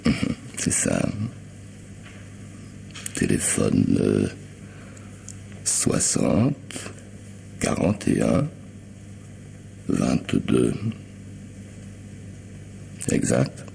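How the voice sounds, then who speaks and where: Sardonic, very deep-voiced gravelly hired killer. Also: male voice, very animated, warm tone, a little hiss or hum, heavily acted. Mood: playful, unhurried. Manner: mocking, unhurried, falsely polite. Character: sardonic, insinuating male hired killer, half-mad and courteous. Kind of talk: French radio mystery drama acting.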